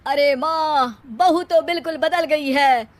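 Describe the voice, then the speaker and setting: An idle young cartoon husband voiced by a woman, theatrical and fond of comic couplets. The voice is high and the delivery drawn out and declamatory. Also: slow pace. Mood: complaining, theatrical. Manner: declaims rhyming couplets dramatically.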